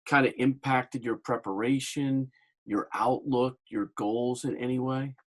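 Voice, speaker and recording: Mid-pitched male reporter. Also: fairly even intonation, unhurried. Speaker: sports reporter. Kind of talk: press conference question.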